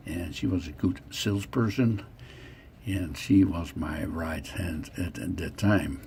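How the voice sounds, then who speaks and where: Deep gravelly Dutch man in his sixties. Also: mellow, a little hiss or hum. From the Netherlands. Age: 60s. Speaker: narrator of own life story. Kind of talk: personal narration over photo slideshow.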